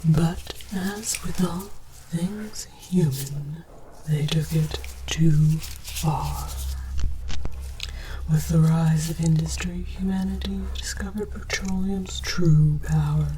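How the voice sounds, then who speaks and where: A woman playing a scholarly alien archaeologist in a whispered, deliberate ASMR lecture, fascinated by human artifacts. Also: low voice, fairly even intonation. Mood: hushed scholarly fascination. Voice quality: breathy whisper. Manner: slow, deliberate, mock-scholarly. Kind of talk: ASMR roleplay, whispered mock-documentary lecture. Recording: clear background noise with clicks.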